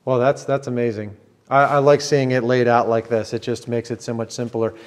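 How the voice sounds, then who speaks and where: A man's voice: medium pitch, level tone, brisk. A middle-aged American man chatting casually as an interviewer, thinking aloud and rephrasing as he goes. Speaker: interviewer touring as visitor. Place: large indoor tower room. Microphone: clip-on mic on the chest.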